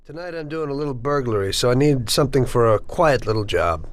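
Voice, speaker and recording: A man's voice: medium pitch, animated, fairly quick, soft background music, close to an everyday voice. An actor playing a loyal, obedient mafia henchman in a video game, speaking tersely and in a businesslike way. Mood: dutiful. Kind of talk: video game cutscene acting.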